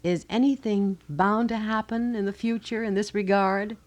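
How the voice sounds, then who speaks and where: A woman's voice: mid-range voice, fairly even intonation, moderate pace. A woman with an American accent co-hosting a live radio program, reading aloud and prompting in a polite conversational way. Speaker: radio co-host. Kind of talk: live radio co-hosting, reading listener letters and asking questions. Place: radio studio.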